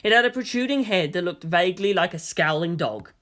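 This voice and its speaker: A woman narrates a scripted story. Her voice is bright and forceful, and her pitch moves in a lively, animated way through the descriptive prose. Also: fairly quick. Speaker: narrator of fan fiction reading.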